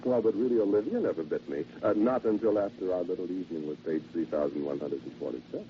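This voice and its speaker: An actor playing a polite, halting, quietly sinister henpecked salesman in a theatrical vintage radio horror monologue. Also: low voice, lightly acted. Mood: chatty, genial. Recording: noisy, dull vintage radio recording.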